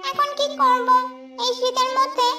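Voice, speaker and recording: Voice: very high-pitched, flat delivery, roomy sound, recorded from a distance, over music, voice pushed up. A performer voicing a dramatic, whiny mother bird in a children's cartoon fable. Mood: shivering, wailing. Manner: whiny, dramatic, drawn-out complaining. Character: harsh, suspicious mother bird, later wailing and pleading.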